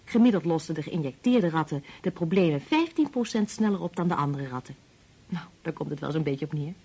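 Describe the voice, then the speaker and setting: A woman's voice: deep voice, lively intonation, fairly quick, warm tone, slightly rough, full character voice. An actress playing a sensible, kindly married woman in a Dutch radio drama. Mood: explanatory.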